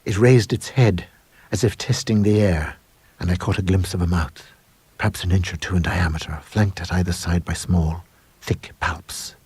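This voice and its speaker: A middle-aged man narrating a supernatural tale as a scripted dramatic reading, measured and suspenseful. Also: sits low, steady tone, darkish tone, husky, dry recording. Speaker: audiobook narrator.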